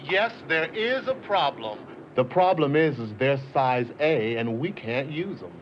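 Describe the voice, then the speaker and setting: Man playing fed-up customer, insistent, impatient, lively pitch, muffled film sound. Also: measured pace, a little hiss or hum, lightly acted. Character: exasperated customer chasing a wrong order.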